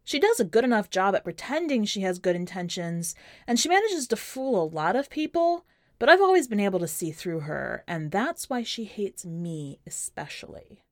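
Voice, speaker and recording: Expressive woman reading, close dry sound. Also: medium pitch, moderate pace, no room sound. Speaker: podcast host and story reader. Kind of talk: expressive first-person short story narration.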